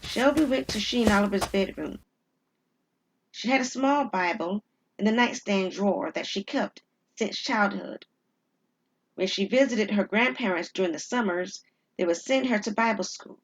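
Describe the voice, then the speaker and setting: A woman storyteller giving deliberate, suspenseful narration of a scripted audio drama. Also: mid-range voice, a little hiss or hum, rough recording.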